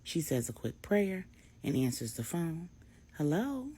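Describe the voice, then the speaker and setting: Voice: medium pitch, animated, a little slow, warm tone, slightly rough. A storyteller narrating a dramatic tale for adults in a vivid, colloquial way.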